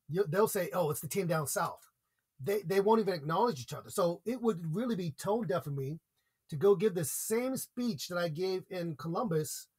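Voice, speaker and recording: An American man from a podcast interview, earnestly explaining public speaking with examples and repeated points. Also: sits high. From United States.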